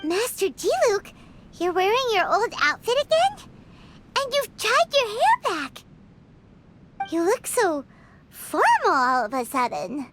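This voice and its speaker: Squeaky, excitable little fairy girl. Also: slow, long pauses, slight background noise, exaggerated voice. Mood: curious. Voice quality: squeaky, childlike. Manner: bubbly, exclamatory, refers to herself by name. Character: excitable little childlike fairy girl companion, cheerful and greedy. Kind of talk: video game character acting.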